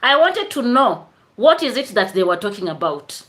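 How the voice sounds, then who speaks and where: A woman's voice: a little high, sing-song, moderate pace, a bit bright. A woman chatting casually on a live stream, greeting viewers by name and laughing often. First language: Swahili.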